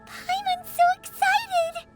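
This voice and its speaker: A woman voicing an excitable, naive little fairy companion girl in an anime-style video game. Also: extremely high pitch, takes it slowly. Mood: excited. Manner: refers to herself in third person, exclamatory. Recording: music behind the voice.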